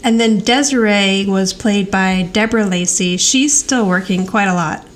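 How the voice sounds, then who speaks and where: A woman's voice: mid-range voice, normal speed. An American woman chatting casually as a podcast co-host, retelling TV scenes in a chatty, giggly way. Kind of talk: casual TV rewatch podcast chat.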